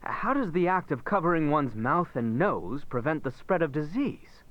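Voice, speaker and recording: A man's voice: a little high, very animated, normal speed, lo-fi sound. An actor voicing an earnest, puzzled man in a fantasy video game, formal and inquisitive.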